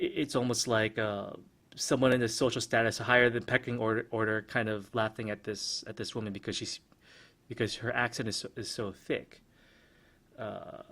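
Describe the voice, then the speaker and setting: A man's voice: fairly low pitch, a bit bright. A man hosting a solo podcast, talking through his thoughts with lots of fillers and restarts. His first language is American English. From Philippines. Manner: hesitant, many restarts and fillers, reflective. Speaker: podcast host. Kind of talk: casual solo podcast commentary.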